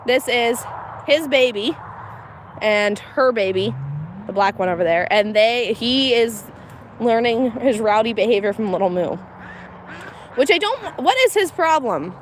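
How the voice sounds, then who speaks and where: A woman's voice: fairly high pitch, lively intonation, unhurried. A woman with a slight Pennsylvania accent, chatting casually and laughing as she livestreams chores with her cows outdoors. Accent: Pennsylvania, mixed American. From Pennsylvania, USA. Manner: chatty, laughs often, candid. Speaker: homestead livestreamer. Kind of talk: casual live commentary. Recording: faint outdoor noise.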